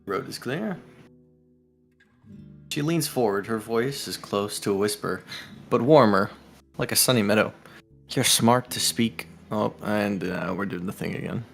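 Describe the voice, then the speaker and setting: A man's voice: fairly low pitch, a little slow, gravelly, long pauses, soft background music. A man reading visual novel narration and dialogue aloud on a live stream, with casual asides.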